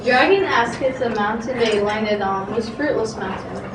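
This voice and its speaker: A woman's voice: fairly high pitch, moderate pace. A woman narrating a story aloud in an amateur readers theatre style, projecting, with some stumbles. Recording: loud background noise, echoey room, distant mic.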